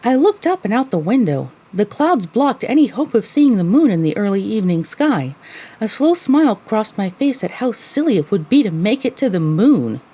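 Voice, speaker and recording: A woman's voice: medium pitch, moderate pace, slightly rough. A woman playing a young female pony, a weary, sarcastic wasteland survivor narrating her own story expressively in an audio drama. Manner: expressive, theatrical. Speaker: narrator and lead character.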